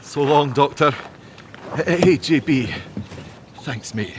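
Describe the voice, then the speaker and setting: A man plays a devoted working husband in a radio drama. His earnest male voice is fairly high and lively, a little halting, over faint noise. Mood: grateful. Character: warm, devoted husband and manservant, shaken but grateful. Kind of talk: audio drama acting.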